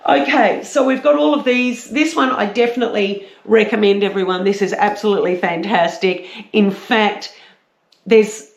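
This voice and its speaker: A woman with a croaky voice, chatting casually and a little hesitantly as a book reviewer. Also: mid-range voice, normal speed. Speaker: book reviewer, vlog host. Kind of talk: casual book chat with read-aloud blurbs. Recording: roomy, echoey sound.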